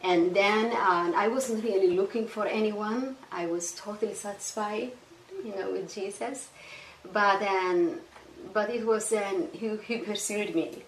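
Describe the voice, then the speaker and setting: A woman's voice: mid-range voice, echoey room, far from the mic, a little hiss or hum, rough recording. Woman with a Somali accent. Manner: earnest, halting, many restarts and "you know". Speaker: guest. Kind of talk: casual testimony interview.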